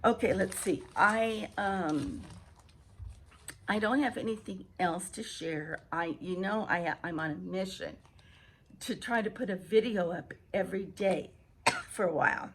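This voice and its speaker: A woman vlogger with a hoarse early-morning voice, chatting casually and haltingly to viewers as she repeats and restarts phrases. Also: medium pitch, a bit bright. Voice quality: husky, hoarse. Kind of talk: casual rambling chat to viewers. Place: outdoor home patio.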